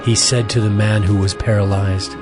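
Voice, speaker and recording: Casting: male narrator, slightly low voice, scripted scripture storytelling, music bed. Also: flat delivery, moderate pace. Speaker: Bible narrator.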